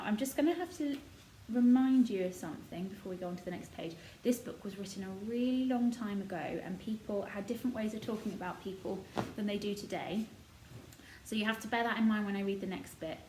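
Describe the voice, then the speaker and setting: Woman, mid-pitched voice, children's storybook narration, explanatory asides, echoey room. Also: a little hiss or hum.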